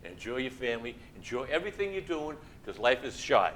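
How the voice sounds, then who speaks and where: A man's voice: medium pitch, sing-song, fairly quick, a bit bright, a little hiss or hum. A man in his sixties hosting a casual interview, chatty and encouraging, rambling between thoughts. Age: 60s. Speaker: show host.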